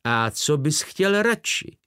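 A man reading an audiobook voices a shrewd, self-assured middle-aged detective with a crisp manner. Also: on the high side, sing-song, sharp tone, no room sound, clean studio sound, pitched above the performer's own voice. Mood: coaxing. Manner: crisp, assured, sly charm. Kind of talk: audiobook character voice.

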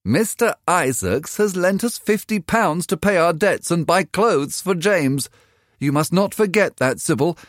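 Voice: mid-range voice, animated, measured pace, no room sound, clean studio sound, voice pushed up, close to an everyday voice. A reader voicing Missus Vane, a tired, worried poor mother, in a storybook reading. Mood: insistent.

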